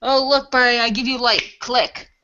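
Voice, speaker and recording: Higher-pitched, offhand woman on lo-fi audio. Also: fairly even intonation, normal speed, background noise. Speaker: role-play player. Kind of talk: casual improvised role-play dialogue. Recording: dull lo-fi audio.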